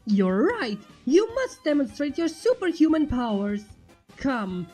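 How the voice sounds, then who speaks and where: A woman voicing a pompous, excitable male skeleton in a story reading, proclaiming everything emphatically. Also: high-pitched, unhurried, husky, faint music behind, voice pushed up, full character voice. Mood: eager.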